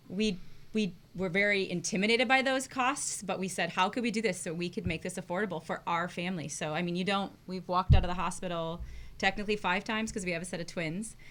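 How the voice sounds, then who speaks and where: A woman in her thirties speaks as a guest. She answers in an upbeat, agreeable way. Her voice sits a little lower than most women's. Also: fairly bright tone. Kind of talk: interview answers.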